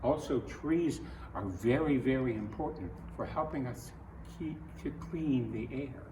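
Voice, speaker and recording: A man from Ohio with an American accent reads a picture book aloud to children, adding his own earnest, gentle comments in halting phrases. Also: medium pitch. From Ohio, United States. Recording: roomy echo, distant mic, faint noise.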